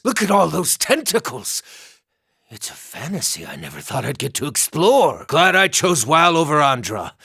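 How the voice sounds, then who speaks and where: A man's voice: on the high side, vocal fry, breathy edge, no echo, studio quality, full character voice. A man voicing an irreverent, lusty small furry druid in a video game, with a wry, theatrical delivery. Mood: alarmed, wry. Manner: wry, theatrical, swinging from excited to muttering. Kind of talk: video game character dialogue.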